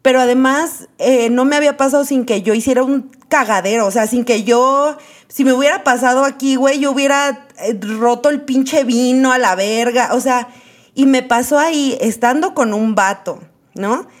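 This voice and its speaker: A woman's voice: high-pitched, normal speed. A Mexican woman guest talking candidly and emphatically, full of slang. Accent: Mexican Spanish. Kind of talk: casual discussion.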